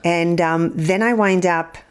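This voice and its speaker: A middle-aged woman from the Republic, a podcast guest telling her story in a measured, steady way with soft trailing endings. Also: lowish voice, clean tone. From Czech Republic. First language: Czech. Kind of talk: podcast interview.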